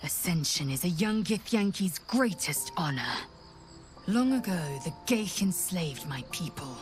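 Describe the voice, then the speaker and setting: Fierce alien warrior girl, clipped n imperious, slow low voice, game cinematic with music. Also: expressive. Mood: proud, reverent. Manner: clipped, imperious, intense, formal. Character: fierce, proud young female alien warrior zealot. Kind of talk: cinematic fantasy game acting.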